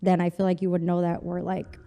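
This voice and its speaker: A woman's voice: on the low side, completely flat delivery, brisk, mellow, long reverb tail. A young woman from a Texas border town, whose first language is Spanish, chatting casually as an interview guest with lots of "like" and "you know".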